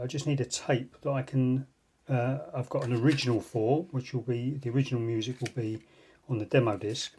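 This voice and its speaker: A man's voice: medium pitch, darkish tone, a little hiss or hum. A man hosting an unboxing video with casual, unscripted commentary and dry, self-deprecating asides. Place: indoors at a tabletop. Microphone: phone filming the tabletop.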